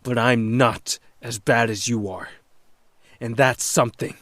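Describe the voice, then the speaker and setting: A man's voice: mid-range voice, steady tone, unhurried, a bit bright, no room sound, lightly acted. A man playing an earnest, determined young fire-wielding superhero in an audio drama, with dramatic, heartfelt delivery. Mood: resolute.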